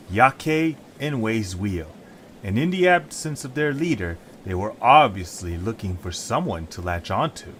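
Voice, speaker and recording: A man's voice: lowish voice, a little hiss or hum. A man narrating a scripted story as its storyteller.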